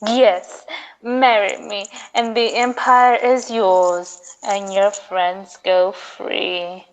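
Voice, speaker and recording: Casting: woman as scornful young princess villain, deliberate and icy, medium pitch, slightly muffled audio. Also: little pitch movement, clean tone. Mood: threatening. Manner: cold, deliberate, imperious delivery. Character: haughty, scornful, vengeful young space princess. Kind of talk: amateur old-time radio serial acting.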